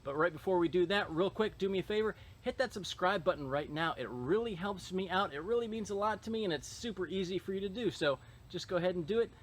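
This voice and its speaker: A man's voice: high-pitched, lively intonation, quick pace, hardly any pauses. A man hosting a casual video, explaining his rental renovation in an upbeat, matter-of-fact way. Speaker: real estate investor vlogger. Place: renovation site, indoors and outdoors. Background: construction noise.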